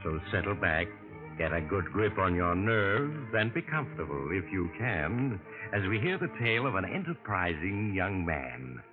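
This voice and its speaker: A man's voice: sits low, very animated, moderate pace. A man playing a sinister male narrator who hosts an old radio mystery show, with a theatrical, ominous delivery. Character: sinister male narrator host, eerie and teasing. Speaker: series narrator host. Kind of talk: 1940s radio drama narration. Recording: tinny old radio broadcast with music.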